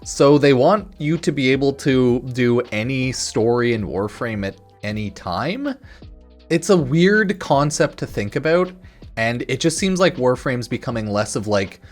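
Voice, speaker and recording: A man's voice: mid-range voice, big pitch swings, a little slow. A man doing casual, off-the-cuff news commentary as a gaming video creator, rambling and opinionated in slangy speech. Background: music.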